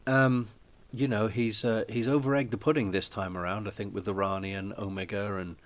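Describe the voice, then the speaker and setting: A man hosting a live stream from his small flat, chatting casually and rambling with lots of ums and restarts. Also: lowish voice, brisk. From Wales.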